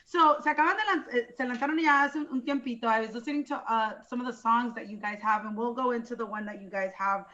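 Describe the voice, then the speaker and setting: A bright, forceful woman's voice with a slightly dull, lo-fi sound. She hosts a casual interview, switching between English and Spanish with an upbeat, chatty manner. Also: fairly high pitch, fast, few pauses. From Los Angeles, USA.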